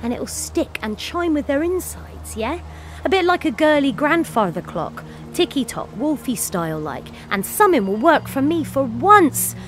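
A woman's voice: high voice, big pitch swings, moderate pace, fairly bright tone, a little hiss or hum. An actress playing a bitter, defiant working-class cleaner and self-styled wolf woman in an audio play monologue, with an earthy, sweary way of rambling. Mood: wry, confiding.

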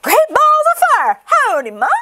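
Needy little hatchling birds from a storybook, voiced by a woman reader. The voice is very high, eager and pleading, with lively swoops. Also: a little slow, noisy background, heavily acted. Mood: excited. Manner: pleading, repeating words.

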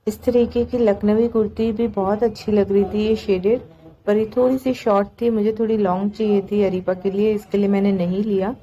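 A woman's voice: medium pitch, fairly even intonation, a little fast, lo-fi sound. A woman narrating a vlog in a casual, chatty, conversational way. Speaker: market vlogger.

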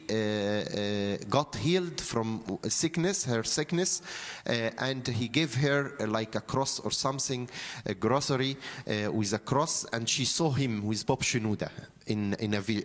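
A man in his fifties with an Egyptian accent, a native Arabic speaker, preaching as a priest in halting phrases with frequent pauses. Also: medium pitch. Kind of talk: sermon, storytelling from notes. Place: church interior, seated at a lectern. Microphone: handheld microphone held close.

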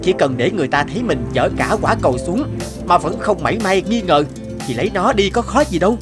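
A man voicing an eager, earnest young villager adventurer in an animated fairy tale, speaking emphatically. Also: high voice, fairly quick, over music. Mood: earnest, plotting.

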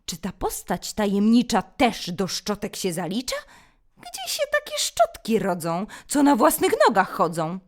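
A woman voicing a frightened, astonished talking brush auntie in a children's storybook, with drawn-out exclamations. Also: mid-range voice, wildly swooping pitch, exaggerated voice. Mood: terrified, astonished.

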